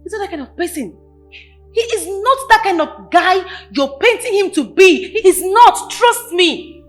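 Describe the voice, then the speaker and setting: A woman's voice: very high voice, lively intonation, measured pace, soft background music. An actress playing an insistent young woman in a screen drama, defending someone firmly and emphatically. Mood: defensive. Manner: firm, emphatic.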